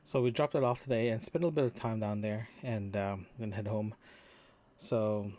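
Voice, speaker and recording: A man recording a casual, unscripted audio diary as a podcaster, talking in a rambling, halting way with trailing asides. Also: lowish voice, fairly even intonation, mellow, dry recording.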